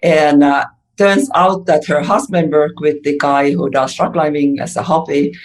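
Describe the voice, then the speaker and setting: A woman from Finland telling her story as a podcast guest, lively and chatty. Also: low-pitched, normal speed. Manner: lively, chatty, laughs often. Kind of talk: interview storytelling.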